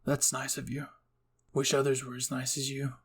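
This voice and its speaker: A man voicing a gentle, lonely teenage boy, soft-spoken and hesitant, in an anime roleplay reading. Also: medium pitch, completely flat delivery, normal speed, slight background noise, close to an everyday voice. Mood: wistful. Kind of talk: anime fan-fiction ASMR roleplay.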